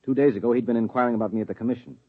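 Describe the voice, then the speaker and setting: An actor playing a secretive, curt husband and government agent, speaking in a clipped, guarded 1950s radio drama style, on a dull old broadcast recording. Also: male voice, mid-range voice, steady tone, very fast, breathy edge, close to an everyday voice.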